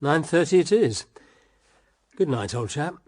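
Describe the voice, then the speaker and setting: An actor playing a reserved, coolly suspicious British husband in a period radio drama, clipped and formal in speech. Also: male voice, fairly high pitch, lively intonation, a little slow, darkish tone, no echo, clean studio sound. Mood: curt.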